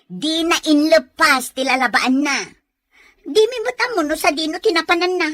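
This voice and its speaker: A woman playing a bossy older sister in a family drama, with a sharp, complaining manner. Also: high voice, moderate pace. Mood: scolding. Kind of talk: Ilocano family drama acting.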